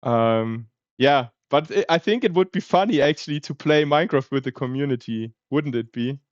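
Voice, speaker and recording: A man's voice: medium pitch, moderate pace, dry recording, lo-fi sound. A man with a German accent chatting casually on a livestream, thinking aloud with plenty of fillers. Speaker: streamer.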